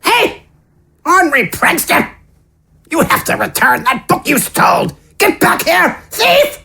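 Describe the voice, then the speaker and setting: A man voicing an outraged, scolding, crook-backed old man in a fantasy audiobook, with a cracked, raspy voice. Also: wildly swooping pitch, measured pace, pushed and bright, full character voice. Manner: scolding, shrill. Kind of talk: audiobook character voice.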